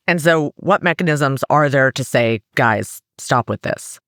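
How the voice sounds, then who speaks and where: Lively bright male reporter. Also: mid-range voice, unhurried, no room sound, studio quality. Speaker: reporter and host. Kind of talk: narration and interview.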